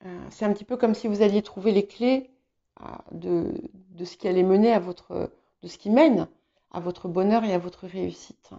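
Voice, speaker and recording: Female tarot reader, English mother tongue, mellow creaky delivery, halting and reassuring. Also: mid-range voice. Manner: hesitant, searching for words, reassuring. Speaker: tarot reader hosting guidance videos. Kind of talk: informal intuitive tarot reading to viewers. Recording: slightly dull, muffled sound.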